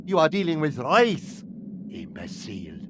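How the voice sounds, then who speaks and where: An actor playing a haughty, coldly menacing schemer in a video game cutscene, with pompous, formal diction. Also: male voice, a little high, huge pitch swings, unhurried, noisy background. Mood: disdainful, grave.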